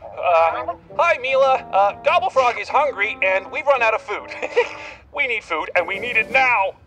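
A man's voice: very high voice, expressive, normal speed, over music, low-quality recording. A man playing a middle-aged, anxious, flustered cartoon dad in a children's show, hesitant and full of exclamations. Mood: anxious, urgent. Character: frazzled, anxious cartoon dad minding a hungry pet.

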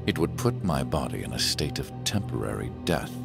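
Cold vengeful villain, extremely low pitch, creaky, measured menacing delivery, self-assured, video game narration. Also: male voice, sing-song, loud music behind the voice, full character voice. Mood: cold, calculating. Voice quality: very deep, gravelly. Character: cold, vengeful male villain, scheming traitor.

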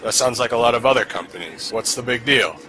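A man's voice: mid-range voice, fast, background noise, natural voice. A man playing an arrogant, foul-mouthed fighting priest, brash and smug, in an anime parody dub. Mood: dismissive. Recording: loud music behind.

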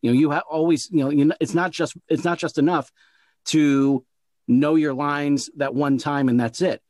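A man's voice: a little high, steady tone, moderate pace, darkish tone, dry recording. Male host, casual and rambling, restarts phrases, dry close recording. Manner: rambling, repeats words while thinking. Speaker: interview host and producer. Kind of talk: casual interview conversation.

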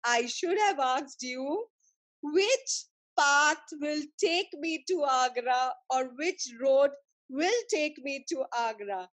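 A woman voicing an imperious, quick-tempered male emperor in a folk tale, commanding and formal. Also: high voice, animated, poor audio. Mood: pleased, gracious.

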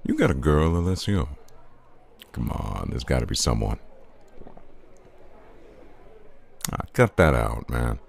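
An actor playing a suave, teasing, flirtatious man in an audio roleplay, smooth-talking and informal. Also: extremely low pitch, sing-song, normal speed, slight background noise, full character voice. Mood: friendly, teasing.

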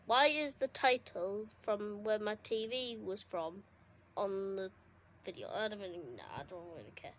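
A young woman giving casual, excitable commentary while playing a console game, her voice going a bit hoarse. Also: mid-range voice, normal speed, slight background noise. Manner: chatty, excitable, reacting to the game. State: losing her voice. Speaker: gaming streamer. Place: indoors at a console. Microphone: phone filming a TV screen.

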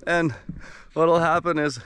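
Relaxed man, slightly hoarse, vlogging outdoors. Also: on the high side, slow pace, slight background noise. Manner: relaxed, upbeat, many fillers. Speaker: sawmill owner vlogging his workday. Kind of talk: casual outdoor vlog. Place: outdoors on a boat and dock by a calm bay. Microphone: action camera held at arm's length.

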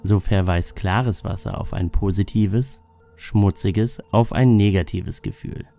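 Deep, gravelly man narrating over music. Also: fairly even intonation, unhurried, warm tone. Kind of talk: scripted narration of a dream-symbol lexicon. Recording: music bed under the voice. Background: background music.

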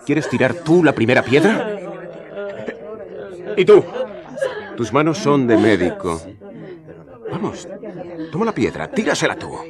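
An actor playing a bold, sardonic Galilean prophet in a colloquial radio drama, speaking pointedly and tauntingly. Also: male voice, medium pitch, big pitch swings, measured pace, pauses often. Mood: sardonic, challenging. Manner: pointed, taunting rhetorical questions. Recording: crowd noise behind the voice.

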